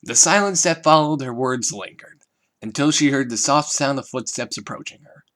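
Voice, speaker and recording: Brief: male narrator, mid-pitched, steady storybook reading, soft delivery. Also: moderate pace. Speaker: fanfiction narrator. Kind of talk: audiobook-style story narration.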